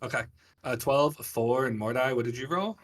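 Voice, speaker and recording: Male game master, mid-pitched, conversational and a bit halting, improvising fantasy scenes. Sounds slightly off-mic. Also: fairly bright tone, recorded from a distance. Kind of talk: narrating an online tabletop fantasy game.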